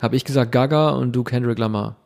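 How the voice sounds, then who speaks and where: A man co-hosting a podcast, chatting casually and explaining things at length in a relaxed way. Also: mid-range voice, fast, gravelly. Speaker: podcast co-host. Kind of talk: casual chat.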